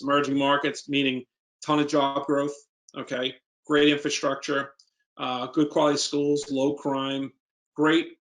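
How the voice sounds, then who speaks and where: A man's voice: medium pitch, a bit bright. A man with a New York accent, an investor speaking informally as a guest and answering questions in an emphatic, plain-spoken way.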